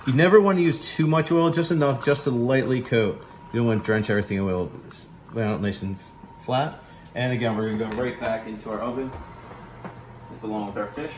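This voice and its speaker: A man's voice: mid-range voice, a little fast, a little hiss or hum. Man demoing a recipe in an echoey kitchen. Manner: relaxed, instructive, many ums and uhs. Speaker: chef giving a cooking demo. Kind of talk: casual cooking demonstration. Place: large home kitchen, indoors. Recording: echoey kitchen room sound.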